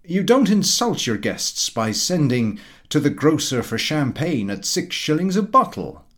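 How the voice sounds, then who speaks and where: A man's voice: on the high side, big pitch swings, a little slow, a bit bright. A man voicing a pompous, opinionated American guest who lectures a dinner table in grand declamatory style, in a storybook reading. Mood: lecturing. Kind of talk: storybook character dialogue.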